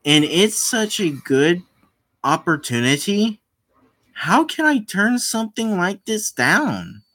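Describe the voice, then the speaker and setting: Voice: medium pitch, sing-song, slow, fairly bright tone, breathy edge, voice pushed up, full character voice. A gaming host voicing the anxious, hesitant hero of a visual novel, thinking to himself. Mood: hesitant, hopeful.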